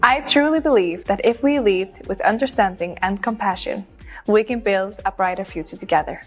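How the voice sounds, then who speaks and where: A woman, giving a scripted self-introduction as a pageant contestant in an earnest, measured way. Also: medium pitch, soft background music. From Iceland. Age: 20s. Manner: earnest, measured recited delivery.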